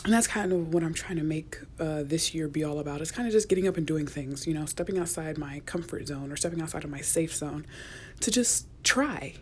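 A young woman, a college student, rambling in a casual solo podcast, chatty and often dropping to a near whisper, recorded in a quiet university office. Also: low voice, fairly quick, a little hiss or hum. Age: young adult. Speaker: podcast host.

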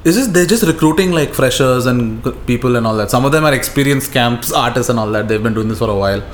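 A man's voice: mid-range voice, quick pace. An Indian-accented man chatting casually as a podcast co-host, telling stories in slangy, profane language and repeating himself for emphasis. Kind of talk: casual podcast chat, animated retelling. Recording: roomy echo, faint background noise.

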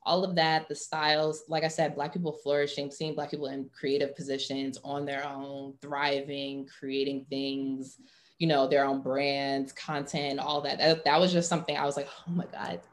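Young woman, interview guest, quite low register, loose conversational delivery full of "like". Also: level tone, moderate pace. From Atlanta, US. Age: young adult. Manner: informal, heavy use of "like". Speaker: interview guest, sports marketing professional.

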